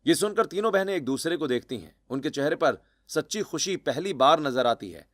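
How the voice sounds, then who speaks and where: A man's voice: on the high side, lively intonation, a little fast, a bit bright, no echo, clean studio sound. A man narrating a scripted cartoon family story.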